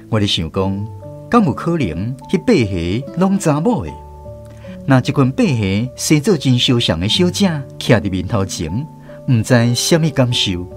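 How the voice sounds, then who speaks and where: A Taiwanese man narrates a story for radio. His pitch sits in the middle and moves in lively swings. Soft guitar music plays behind him.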